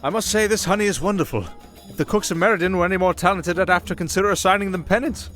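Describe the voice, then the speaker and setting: A man acts out a kindly, fatherly archbishop from a fantasy audio drama. He speaks in a formal, measured way with gentle irony, pitched slightly high for a man. Music runs behind the voice. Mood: genial. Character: genial, wry, fatherly archbishop.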